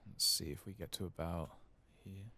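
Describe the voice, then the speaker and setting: Very deep, creaky, soft-spoken man. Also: fairly even intonation, normal speed, a little hiss or hum. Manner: casual, muttering to himself, thinking aloud, mild swearing. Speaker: gaming video host. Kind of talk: soft-spoken ASMR playthrough commentary, partly whispered.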